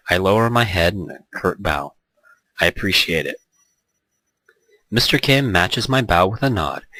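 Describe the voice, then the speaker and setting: A man's voice: low voice, sharp tone, dry recording, rough recording. A man hosting a Let's Play, chatting casually and reading visual novel text aloud in a rambling, joking way.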